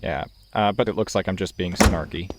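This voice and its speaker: A man's voice: low-pitched, fairly quick, very noisy. A man playing a tabletop role-playing game, casually describing what his character does and says. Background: music.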